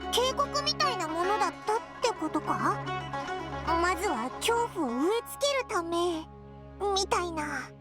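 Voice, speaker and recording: Squeaky, very high childlike little creature. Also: female voice, very animated, measured pace, over loud music, heavily acted. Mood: wondering. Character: tiny childlike floating companion creature, boyish-spoken, earnest, excitable. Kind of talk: Japanese game voice acting.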